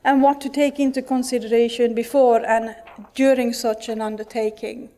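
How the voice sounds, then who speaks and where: A woman's voice: a little high, level tone, moderate pace, dark tone. A middle-aged woman from Finland with a Finnish accent, giving an earnest conference talk with many restarts and fillers. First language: Finnish and Swedish. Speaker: conference speaker. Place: conference hall.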